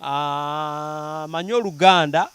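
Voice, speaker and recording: A man's voice: on the high side, fairly bright tone, clean tone. A man with a Ugandan accent, hosting a casual, teasing interview chat. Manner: playful, teasing, quick to laugh.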